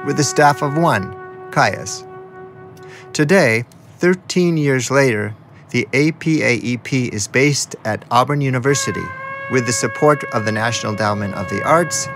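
A man delivers scripted radio documentary narration in a measured, storytelling manner. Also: medium pitch.

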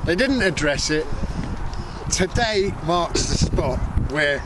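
Middle-aged male activist, high pitch, emphatic and declamatory delivery, recorded outdoors under very loud wind noise. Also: animated, slow. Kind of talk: impassioned outdoor political talk to a small group. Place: open grassy field, outdoors. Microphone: camera microphone a few steps away. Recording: very noisy windy outdoor camera audio. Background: loud wind and ambient noise.

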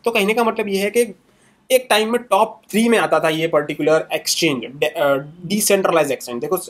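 A young man hosting his own channel, talking through charts in a casual, conversational way and closing many sentences with quick tags and fillers. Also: high-pitched. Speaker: crypto channel host. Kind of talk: casual screen-share market analysis.

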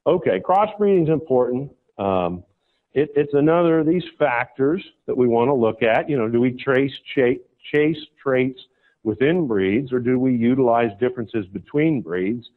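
A man's voice: lively intonation, moderate pace. Folksy American man at a conference. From United States. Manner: folksy, persuasive, asks "okay?" often. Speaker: conference speaker, cattle breeder. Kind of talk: conference presentation. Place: conference hall stage. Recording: tinny, phone-like low-fi recording.

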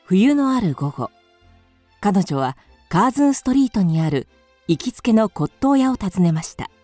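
A woman's voice: medium pitch, big pitch swings, normal speed, warm tone, no room sound, faint music behind. A woman narrating a radio drama from a script as its storyteller.

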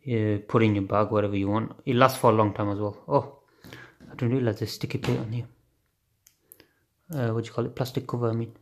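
A man chats his way through an unboxing in a flat, offhand voice, dropping the odd swear word as he thinks aloud. He is recorded on a phone held above a table at home late at night. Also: on the low side, brisk, pauses often. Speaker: unboxing video host. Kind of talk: casual unboxing chat. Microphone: phone camera filming hands on a table.

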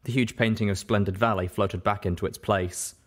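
A man narrating a story in an expressive audiobook style. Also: on the low side, a little fast.

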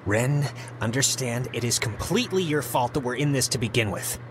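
A man's voice: mid-range voice, lively intonation, moderate pace, background noise, lightly acted. A young American man playing a blunt, sarcastic, short-tempered teenage boy in a video game. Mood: exasperated. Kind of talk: naturalistic video game dialogue. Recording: music behind the voice.

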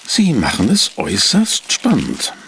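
An actor playing a curious, uneasy assistant to a detective in a German audio drama, questioning and eager. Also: male voice, mid-range voice, huge pitch swings, measured pace, creaky, a bit bright, slight background noise, heavily acted. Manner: questioning, eager, slightly puzzled.

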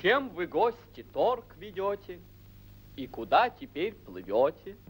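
A young fairy-tale prince, earnest and wistful, in a high voice with lively swings. He declaims verse slowly, as in a 1940s cartoon soundtrack. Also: full character voice, rough recording. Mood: wistful, curious. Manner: measured, declamatory verse delivery. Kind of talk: 1940s Soviet animated film, verse declamation. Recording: old 1940s film soundtrack, faint music.

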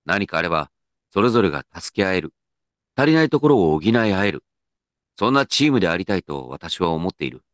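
An actor playing an earnest, dignified company president in a comedic radio drama, with pompous, measured executive speech. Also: male voice, sits low, big pitch swings, no echo, clean studio sound. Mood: earnest, supportive.

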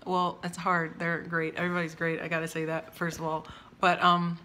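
A loud young woman talks straight into a phone camera at arm's length, rambling from one thing to the next and cutting herself off mid-thought. Her voice is low-pitched for a woman yet bright and forceful, and it projects easily. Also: monotone, brisk, a little hiss or hum. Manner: chatty, wandering, self-interrupting. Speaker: vlogger. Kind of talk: casual rambling rant. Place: indoors by large windows, home room.

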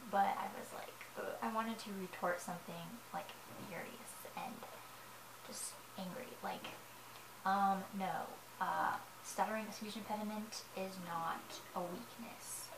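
A young woman with a high, girlish voice, rambling in a personal vlog from her sofa with lots of fillers and self-corrections. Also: steady tone, a little slow, vocal fry. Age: young adult. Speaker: vlogger. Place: living room, seated on a sofa. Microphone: camera in front of her. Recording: echoey room with noise.

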